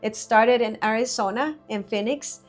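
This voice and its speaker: A woman in her forties from Mexico, a business owner answering interview questions in an earnest, measured way with frequent fillers, recorded in a studio. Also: a little high, soft background music.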